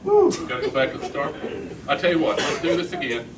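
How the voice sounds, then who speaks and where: A man's voice: on the high side, huge pitch swings, a little fast. A man doing a magic act live on stage, talking his way through it in casual, teasing, improvised patter. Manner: joking, teasing. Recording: echoey, noisy live room, distant mic.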